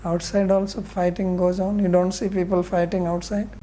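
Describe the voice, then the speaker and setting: Mellow Indian man teaching scripture. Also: high-pitched, level tone, normal speed, slight background noise. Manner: blunt, questioning, explanatory. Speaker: spiritual teacher. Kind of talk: scripture class question and answer.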